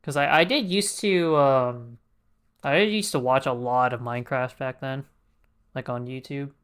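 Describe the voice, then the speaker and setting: Mid-pitched male game streamer, casual. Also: a little slow, a bit bright, smooth. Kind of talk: casual livestream game commentary. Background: game music.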